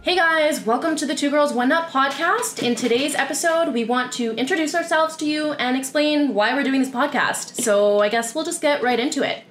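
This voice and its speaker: A woman's voice: high voice, moderate pace, few pauses, a bit bright, faint music behind. A woman in her twenties from Canada, hosting a podcast in a loose, chatty way with casual swearing. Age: 20s. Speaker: podcast host. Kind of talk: casual chat. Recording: echoey room with faint noise.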